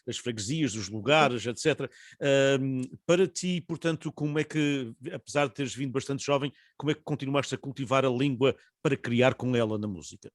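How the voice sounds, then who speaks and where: A man's voice: medium pitch, normal speed, no room sound. A man moderates a panel discussion. He asks long, winding questions with many hesitations and restarts. His voice sits mid-range and the sound is dry and close. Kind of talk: online panel interview.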